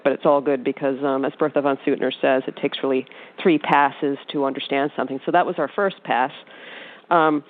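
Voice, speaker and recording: A man hosting an interview conversationally, with halting, roundabout questions. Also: a little high. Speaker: interviewer, show host. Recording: thin phone-like line.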